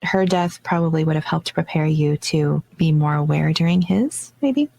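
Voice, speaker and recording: A woman podcast host with a fairly low voice asks her guest thoughtful follow-up questions. Her manner is gentle and unhurried. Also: vocal fry. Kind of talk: interview questions.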